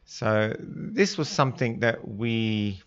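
English man, low voice, gravelly, relaxed conversational talk, dull lo-fi radio studio sound. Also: slow. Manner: relaxed, conversational, with Arabic greetings. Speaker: studio guest. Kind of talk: casual radio interview.

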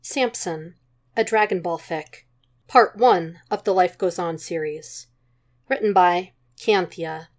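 A woman's voice: lowish voice, takes it slowly. A woman reading a story aloud as scripted narration, in the manner of an amateur audiobook. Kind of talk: scripted narration of fan fiction.

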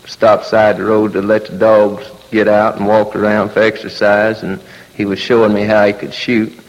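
A man with a Southern US accent recalling events plainly and haltingly, like a folksy patrol officer. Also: lowish voice. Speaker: highway patrol officer giving a witness account. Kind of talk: unscripted recollection. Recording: muffled, long hall reverb, old recording.

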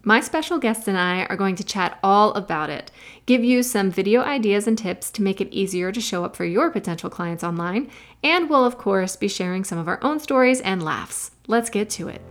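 Woman podcast host, upbeat friendly vibe, mid-pitched voice, easy chat. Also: lively intonation, normal speed. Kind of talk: scripted intro then casual interview chat.

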